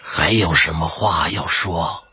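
Man acting a very slow, husky old sage. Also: deep voice, pushed and bright, no room sound, highs cut off, exaggerated voice. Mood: solemn, patient. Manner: slow, solemn, philosophical. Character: grave, sage old martial arts master, patient. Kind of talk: radio drama acting.

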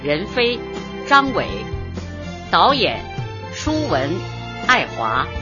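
A woman's voice: mid-range voice, big pitch swings, very slow. A woman announcer reading scripted credits for a radio drama in a formal presentation style. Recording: dull band-limited broadcast, music behind.

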